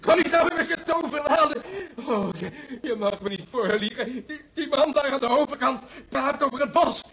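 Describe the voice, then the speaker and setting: An actor playing a morbidly superstitious hospital patient, frantic and accusatory, in a Dutch radio play. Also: male voice, very high-pitched, normal speed, fairly bright tone. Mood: hysterical terror. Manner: frantic, accusatory, prone to wailing.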